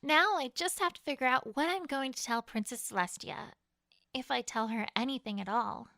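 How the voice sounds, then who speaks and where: A woman voicing an earnest, anxious young female unicorn in an expressive audiobook performance. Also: on the high side, moderate pace, gravelly, no echo, clean studio sound. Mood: worried. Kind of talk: dramatized audiobook character acting.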